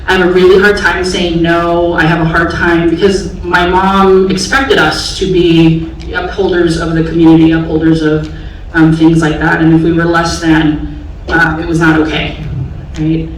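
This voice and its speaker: A woman's voice: fairly low pitch, level tone, normal speed. Woman in her forties, echoey auditorium. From San Francisco Bay Area, US. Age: 40s. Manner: candid, reflective, many fillers. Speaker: special education teacher, panelist. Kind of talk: personal storytelling to an audience. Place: large auditorium stage. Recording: echoey hall from far off, faint noise.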